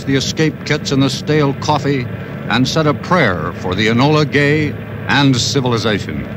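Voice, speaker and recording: A man's voice: mid-range voice, background noise. A man giving solemn scripted documentary narration on an old archival recording.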